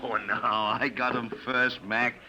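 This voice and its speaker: An actor in a 1950 radio drama playing a loud, jovial, wisecracking male Hollywood film director. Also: mid-range voice, level tone, unhurried. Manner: loud, back-slapping, wisecracking. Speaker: Joe Melton, unit director. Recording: muffled old radio broadcast with crowd. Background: restaurant crowd.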